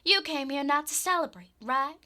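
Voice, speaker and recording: A woman's voice: high-pitched, expressive, moderate pace, sharp tone. A woman playing a bold, eager young girl detective's apprentice in a radio drama, direct and inquisitive. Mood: accusing, confident.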